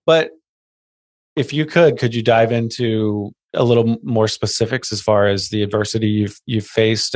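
A man's voice: on the low side, dry recording. A man hosting a podcast interview, asking long, rambling questions full of fillers. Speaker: podcast host. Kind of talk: conversational interview.

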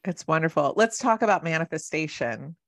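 A woman with a low-pitched voice hosts an interview show. She works as a matchmaker and speaks in an encouraging, affirming way. She gives advice in a casual, conversational tone. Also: lively intonation, fairly quick, fairly bright tone. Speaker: podcast host and matchmaker.

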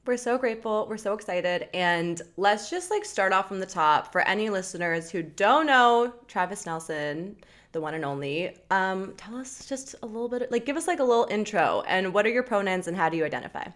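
A woman's voice: mid-range voice, lively intonation, brisk, a bit bright. A woman co-hosting a podcast, chatting in an effusive, informal way. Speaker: podcast co-host, interviewer. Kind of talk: casual chat.

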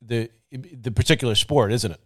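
A man's voice: medium pitch, normal speed. A man hosting a podcast interview, asking long, rambling questions with many restarts while he is losing his voice. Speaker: podcast host.

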